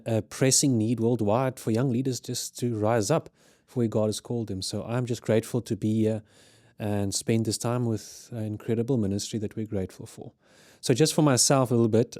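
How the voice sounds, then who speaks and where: A man's voice: lowish voice, mellow, no echo. A man in his thirties with a South African accent, raised in Zimbabwe, speaking earnestly as a podcast guest in a studio. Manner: earnest, measured, with frequent restarts. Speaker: guest, ministry leader. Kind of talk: podcast interview.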